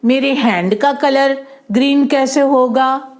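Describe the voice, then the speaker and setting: Woman voicing an anxious rich man, high and questioning. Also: takes it slowly, darkish tone. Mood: baffled, protesting. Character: proud rich man turned worried, pleading patient.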